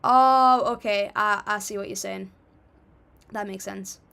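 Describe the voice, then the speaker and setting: A woman's voice: mid-range voice, steady tone, unhurried, creaky, bright, forceful tone, clear, resonant tone. Young woman, livestream host, casual chat, reads comments aloud, friendly and apologetic. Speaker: livestream music reactor.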